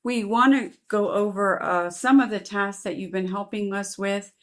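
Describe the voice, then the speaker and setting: A woman's voice: medium pitch, steady tone, moderate pace, fairly bright tone. Fluent woman hosting a conversation. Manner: fluent, long flowing sentences. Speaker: host, volunteer organizer. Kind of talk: interview conversation. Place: indoor art gallery.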